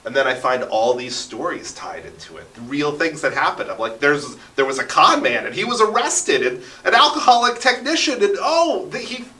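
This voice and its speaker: A man's voice: a little high, sing-song, normal speed, hardly any pauses, bright, forceful tone. A talkative man in his thirties telling stories with enthusiasm and dramatic emphasis as a radio interview guest. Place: basement radio station studio. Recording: roomy echo, distant from the mic.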